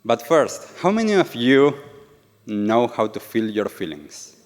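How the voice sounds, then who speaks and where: A man's voice: medium pitch, very animated, measured pace. A man from Argentina with an Argentine Spanish accent, an earnest yoga and meditation teacher giving a live talk and asking the audience questions. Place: event venue with an audience. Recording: reverberant event hall.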